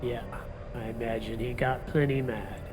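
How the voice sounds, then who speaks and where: A man voices a dry, wry detective, sardonic and matter-of-fact. His voice carries a long reverb and a clear hiss throughout this radio play. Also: mid-range voice, flat delivery, moderate pace, lightly acted. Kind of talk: audio drama acting.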